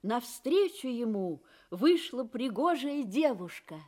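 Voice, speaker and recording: A woman's voice: fairly high pitch, big pitch swings, slow, a little mellow. A woman narrating a scripted fairy tale for children. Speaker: storyteller, narrator.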